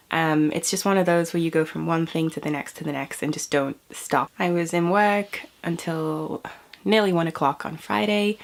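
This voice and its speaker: A young British woman chats to camera in a low, slightly gravelly voice. She sounds a bit stuffy and sniffly from a cold, rambling from one thing to the next with frequent little laughs. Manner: chatty, rambling, laughs often. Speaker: vlogger. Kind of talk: casual chat to camera.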